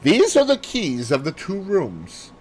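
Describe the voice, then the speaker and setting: A man's voice: a little high, wildly swooping pitch, measured pace, darkish tone, voice pushed up, exaggerated voice. A man voicing a grave, formal male doctor in a mystery audiobook, speaking with authority. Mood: brisk, formal.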